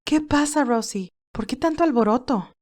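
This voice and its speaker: A cheerful young woman who works as a nurse, acted out by a female reader. Her voice sits a little high, with lively, tender delivery. It suits a romantic audio storybook. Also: moderate pace. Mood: caring. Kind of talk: audio storybook character dialogue.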